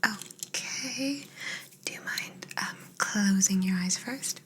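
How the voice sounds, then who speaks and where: A woman playing a curious, gently clinical alien in an ASMR roleplay, whispering soft, breathy instructions in a soothing, measured way with murmured hums. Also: medium pitch. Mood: curious, intrigued. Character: curious, gently clinical female alien examining an abducted human.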